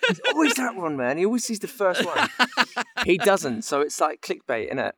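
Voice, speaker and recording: A man's voice: high-pitched, sing-song, moderate pace, crowd noise. A man in his thirties chatting casually and telling anecdotes in a playful, easily amused way. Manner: playful, laughs easily while talking. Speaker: voice actor guest.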